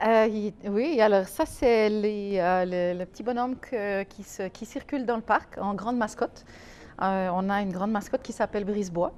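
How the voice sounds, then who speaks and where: A woman's voice: medium pitch, moderate pace, darkish tone, slight background noise. A Swiss-born woman guest in a casual TV interview, talking hesitantly with many fillers and easy laughs. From Switzerland. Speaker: guest, community association president.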